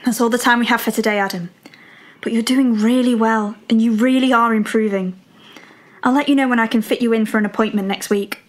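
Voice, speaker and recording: Actress as a brisk, encouraging counsellor. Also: female voice, on the high side, fairly even intonation, lightly acted. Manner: encouraging, businesslike. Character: encouraging, professional woman counsellor. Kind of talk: naturalistic radio drama acting.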